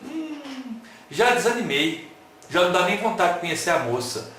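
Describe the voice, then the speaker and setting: A man's voice: sits high, moderate pace, a little hiss or hum. A man giving a playful lecture, asking his listeners questions and joking with them. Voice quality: animated, playful, asks the audience questions. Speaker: lecturer at an online talk. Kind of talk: lively lecture with jokes and rhetorical questions. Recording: very echoey room.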